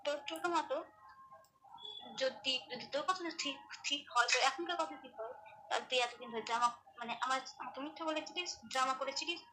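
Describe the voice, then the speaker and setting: Young woman friend, high and bright, annoyed and sharp, in a roomy sound with faint hiss. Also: a little fast, far from the mic. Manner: confused, irritated, sharp. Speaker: friend receiving a prank call. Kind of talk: phone conversation.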